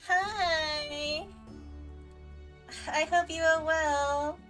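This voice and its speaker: A woman's voice: high-pitched, steady tone, very slow, fairly bright tone. Woman chatting over game music. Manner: chatty, greets viewers affectionately. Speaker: livestreamer playing a game. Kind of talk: casual livestream game commentary. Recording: music behind the voice.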